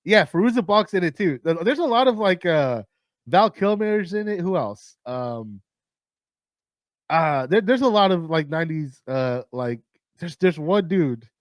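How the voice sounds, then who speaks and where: A man hosting a movie countdown, his voice raspy and tired, rambling casually with lots of swearing, fillers and restarts. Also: fairly high pitch, lively intonation, unhurried, no room sound, studio quality. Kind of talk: casual rambling film talk.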